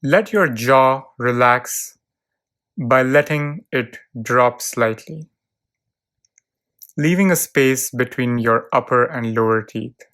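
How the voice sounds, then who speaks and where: A narrator guides a relaxation exercise in a clean, smooth voice at a medium pitch. The delivery is very slow and soothing, with short calm phrases set apart by long pauses. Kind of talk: guided visualization, relaxation script.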